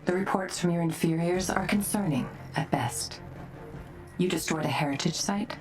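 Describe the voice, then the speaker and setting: An actress playing a cold, imperious scientist boss in a video game, cutting and condescending in a controlled delivery. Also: female voice, fairly low pitch, moderate pace, far from the mic, close to an everyday voice. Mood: icy contempt. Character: cold, imperious female scientist executive villain. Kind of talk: dramatic video game acting. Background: cinematic game music.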